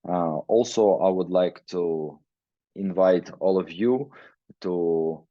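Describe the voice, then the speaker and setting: A man from Ukraine giving a webinar talk in halting English, with frequent fillers. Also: deep voice, no room sound, highs cut off. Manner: halting non-native English, many fillers. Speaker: guest presenter, weightlifting researcher. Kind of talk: webinar presentation. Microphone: video call.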